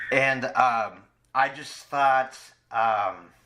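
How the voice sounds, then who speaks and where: A man hosting a casual podcast interview, haltingly feeling his way into each question. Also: mid-range voice, sharp tone, poor audio.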